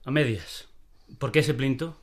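A man's voice: mid-range voice, unhurried, natural voice. An actor from Spain playing an imperious, impatient theatre director in a radio play, curt and demanding, repeating his orders in exasperation. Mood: curt, probing.

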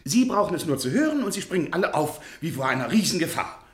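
An actor playing a timid, hesitant professor who stammers with frequent pauses, in a radio play. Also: male voice, on the high side, very animated. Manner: hesitant, stammering, easily bewildered. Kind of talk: 1950s radio play acting.

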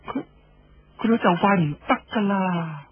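A distraught young wife, played by a man, with a raised, very husky voice and a Cantonese accent. She pleads slowly and stubbornly, in the manner of a radio story. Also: high-pitched, dry recording, phone-quality sound, voice pushed up. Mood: pleading. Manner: slow, plaintive, pleading.

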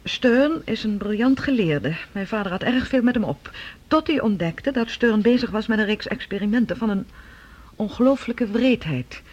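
Earnest scientist's daughter, radio drama, husky, high voice, formal, persuasive, muffled lo-fi sound. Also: female voice, lively intonation, normal speed. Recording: dull, lo-fi radio recording.

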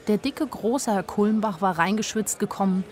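A woman's voice: medium pitch, moderate pace, natural voice. An actress playing a naive, wryly observant young woman, narrating a radio drama in a dry, matter-of-fact way. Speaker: first-person narrator.

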